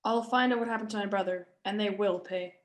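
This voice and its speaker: A woman plays a battered, stubborn boy out for revenge in a screenplay table read. The voice is plain and mid-pitched, with a defiant temper. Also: fairly even intonation, fairly quick, close to an everyday voice. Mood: bitter, defiant.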